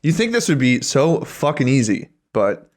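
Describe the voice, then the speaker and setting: A man's voice: medium pitch, big pitch swings, normal speed. Male podcast co-host, thirties, loose conversational delivery, crude humor, plenty of swearing. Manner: crude, joking, swears freely. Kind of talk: casual chat.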